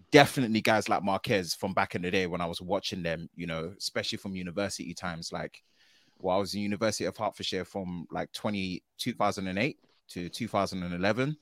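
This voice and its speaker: Lively man, low and dry. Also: no echo. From United Kingdom. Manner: animated and slangy, often ends phrases with "man". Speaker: podcast guest, tech video creator. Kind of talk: casual podcast conversation.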